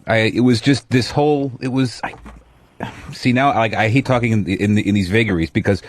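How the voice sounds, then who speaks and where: A man on a radio show, talking casually in a halting, hedging way. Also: lowish voice, slight background noise.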